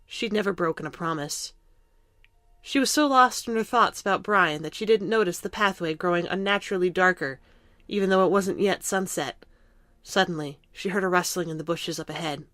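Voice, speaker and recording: A woman's voice: fairly low pitch, normal speed, fairly bright tone, dry recording. A woman reading scripted story narration for an audio drama.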